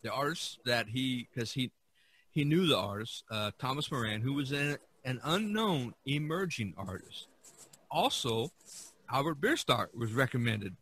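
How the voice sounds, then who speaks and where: Man, podcast host, bright voice, average pitch, casual discussion, enthusiastic storytelling, frequent restarts. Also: expressive, a little slow, husky, dry recording. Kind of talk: casual art history discussion.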